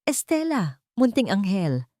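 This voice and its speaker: A woman's voice: mid-range voice, very animated, a little slow, dark tone, dry recording, studio quality, voice pushed up. A woman voicing a scheming, cold-hearted rich stepmother whose sweet voice hides steel, in an audio story. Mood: falsely sweet. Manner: sweet on top, icy and commanding underneath.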